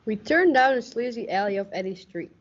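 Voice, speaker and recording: A woman's voice: a little high, lively intonation, a little fast, over loud music, close to an everyday voice. Woman playing a hard-boiled noir detective, muffled recording. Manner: tough, world-weary, slangy. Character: hard-boiled, weary male police detective of radio noir. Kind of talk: first-person noir radio narration. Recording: muffled, noisy amateur stage recording.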